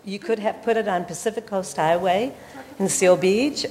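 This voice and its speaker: Woman, mid-pitched, blunt and indignant, loud, public comment, long hall reverb. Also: normal speed. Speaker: resident making a public comment.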